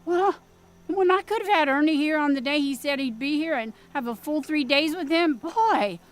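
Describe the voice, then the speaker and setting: A woman's voice: sits high, moderate pace, lightly acted. An actress with a Midwestern accent playing a pushy, folksy small-town mother in an audio drama. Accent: Midwestern American. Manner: folksy, scolding, plain-spoken. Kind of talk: period radio drama acting.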